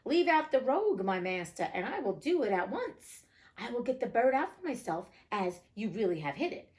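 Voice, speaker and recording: A woman's voice: fairly high pitch, sing-song, normal speed, darkish tone. A woman voicing a whining, scheming, indignant old miser in a storybook reading, exclaiming, pleading and ranting. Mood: eager.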